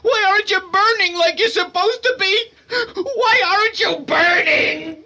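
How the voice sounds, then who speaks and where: A woman's voice: very high voice, unhurried. A woman playing a hysterical, unhinged character in a radio thriller, stammering and wailing in terror. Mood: frantic, distraught.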